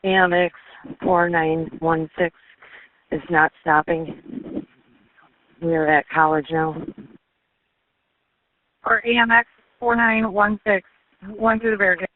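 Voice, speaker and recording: A man's voice: high-pitched, fairly even intonation, slow, long pauses, dry recording. A man passing on police information over a narrowband two-way radio.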